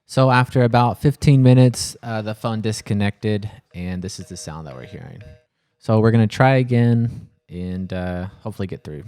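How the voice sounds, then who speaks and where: A man with a low voice speaks in a casual, earnest vlog style. He sounds worried and frustrated, explaining himself plainly and sometimes searching for words. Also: moderate pace, darkish tone, smooth. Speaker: coffee shop owner filming a personal vlog.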